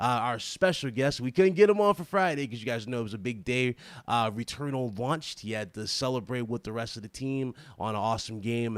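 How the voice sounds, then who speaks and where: A man's voice: mid-range voice, dry recording. A man hosting a live podcast interview, hyped and eager, often tripping over and repeating his words. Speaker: podcast host.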